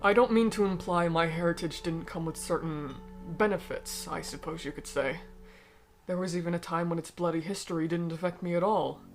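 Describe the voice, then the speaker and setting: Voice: medium pitch, soft background music, natural voice. A performer in a fan dub voicing a cold, aristocratic alien noble who speaks formally and eloquently, bitter yet persuasive. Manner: formal, eloquent, measured speech. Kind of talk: fan-dub voice acting of comic dialogue.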